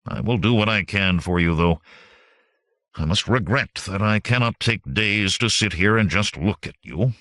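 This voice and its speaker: A man's voice: on the low side, lively intonation, normal speed, a bit bright, no room sound, studio quality. A man voicing a blunt, ardent young warrior from the hills in an audiobook, with grave, formal speech. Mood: grim, resolute.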